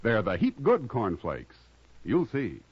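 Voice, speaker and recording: A man's voice: mid-range voice, huge pitch swings, slow, slightly rough, dry recording. A man announcing a 1950s radio commercial in a chatty, persuasive, folksy style. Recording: muffled, dry old radio recording.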